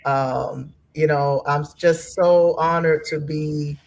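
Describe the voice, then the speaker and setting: Lady guest on a panel, takes her time, earnest n thoughtful, pauses between phrases. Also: sits low, fairly even intonation, darkish tone. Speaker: panel guest from a stage play. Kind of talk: reflective interview answer.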